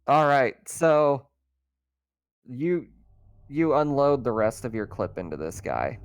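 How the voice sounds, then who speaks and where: A man's voice: medium pitch, expressive, slow pace, smooth, dry recording. A man running a tabletop roleplaying game as game master, describing scenes in a casual, improvised, conversational way. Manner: casual, conversational, thinking out loud.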